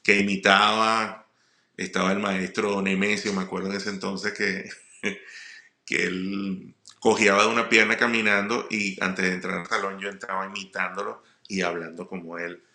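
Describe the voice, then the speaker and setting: Low, gravelly Venezuelan man. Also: little pitch movement, moderate pace, bright, forceful tone. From Puerto La Cruz, eastern Venezuela. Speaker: comedian, guest speaker. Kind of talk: personal storytelling monologue. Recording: roomy echo.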